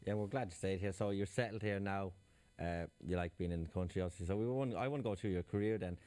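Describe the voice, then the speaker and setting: A man's voice: low voice, quick pace, a little mellow, no room sound. A man hosting an interview, asking loose, run-on questions. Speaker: interviewer host.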